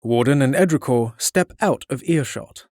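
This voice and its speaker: A man's voice: medium pitch, lively intonation, normal speed, a little mellow, dry recording, studio quality. A man reading scripted narration for a fantasy drama.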